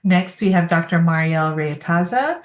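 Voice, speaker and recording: A woman's voice: on the low side, expressive, normal speed. A woman moderating a virtual panel, graciously thanking and introducing each speaker.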